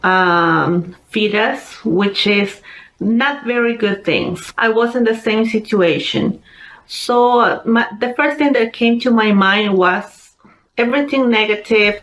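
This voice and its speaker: A young woman from Peru with a Peruvian Spanish accent, telling her personal story in an unhurried way, with many pauses and fillers. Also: medium pitch, fairly bright tone, low-quality recording. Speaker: convert sharing her story. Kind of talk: personal testimony monologue.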